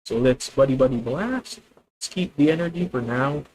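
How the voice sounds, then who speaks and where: A young man with a Filipino accent streaming a card game, thinking out loud about his moves, correcting himself and talking to his viewers. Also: medium pitch, normal speed, low-quality recording. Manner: thinking aloud, self-correcting, addresses viewers. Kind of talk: live gameplay commentary.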